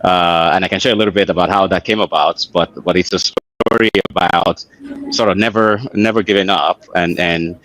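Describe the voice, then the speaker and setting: A middle-aged man with a Nigerian accent and a deep, low voice tells his story as an interview guest. He speaks conversationally and reflectively, pausing and repeating words while he gathers his thoughts. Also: fairly even intonation, fairly bright tone, dry recording, poor audio. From Lagos, Nigeria. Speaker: interview guest, author and engineer.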